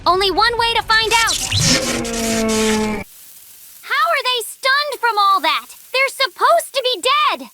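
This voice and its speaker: A woman voicing an excitable, theatrical kid who battles monsters in an animated comic dub. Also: very high-pitched, slow, long pauses, very noisy, heavily acted. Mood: cocky.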